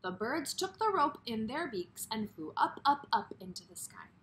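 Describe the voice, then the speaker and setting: A woman's voice: on the high side, sing-song, normal speed, a bit bright, a little hiss or hum. A woman kindergarten teacher reading a story aloud expressively and putting questions directly to young children.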